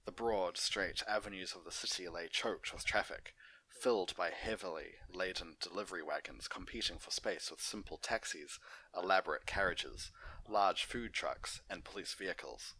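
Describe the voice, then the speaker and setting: Low voiced guy reading fanfic out loud, kinda bright forceful sound. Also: moderate pace, rough recording. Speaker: host and story reader. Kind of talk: amateur fan fiction narration.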